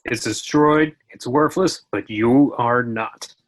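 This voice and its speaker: A man reading as a righteous male action hero with a mid-pitched voice, earnest and firm, in an informal horror script table read. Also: slow pace.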